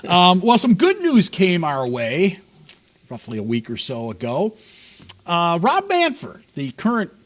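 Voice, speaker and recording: Sports talk co-host, male, lively pitch, sarcastic rant delivery. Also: unhurried. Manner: ranting, sarcastic, laughs often.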